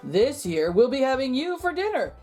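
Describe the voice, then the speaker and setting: A woman's voice: high voice, big pitch swings, normal speed, mellow, soft background music, voice pushed up. A woman voicing a friendly, hearty male farmer in a children's storybook, calling out cheerful invitations.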